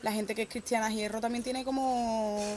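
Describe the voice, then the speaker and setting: A woman's voice: a little high, fairly even intonation, normal speed. A young adult woman with an Andalusian Spanish accent, talking passionately in a casual group conversation and restarting her sentences often. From Andalusia, Spain.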